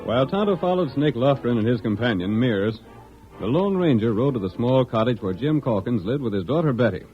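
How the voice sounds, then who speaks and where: A man's voice: medium pitch, lively intonation, a little fast, music in the background. A man delivering scripted narration for a 1940s-style radio western. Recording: muffled old radio recording.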